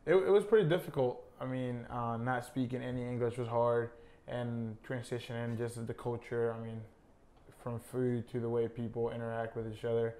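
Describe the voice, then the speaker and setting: A young college soccer player from Honduras, a native Spanish speaker, talking casually in an interview with many fillers. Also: male voice, medium pitch, moderate pace. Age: young adult. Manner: relaxed, many fillers like "I mean" and "uh".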